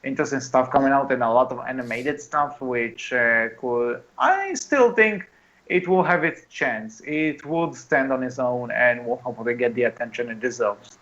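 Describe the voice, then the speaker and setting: A man's voice: medium pitch, moderate pace, fairly bright tone, highs cut off. A man co-hosting a casual movie podcast, chatting in an exclamatory way. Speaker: podcast host.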